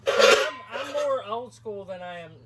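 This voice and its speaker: A man's voice: very high-pitched, measured pace. A man, joking around while pulling brakes off a car at a salvage yard. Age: 20s. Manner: playful, joking, lively. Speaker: car hobbyist. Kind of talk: casual chat while working. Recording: clear background noise.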